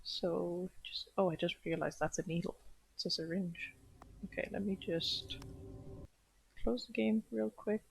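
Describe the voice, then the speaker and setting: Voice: mid-range voice, a little slow, creaky, a little hiss or hum, poor audio. A game streamer giving casual, off-the-cuff live commentary.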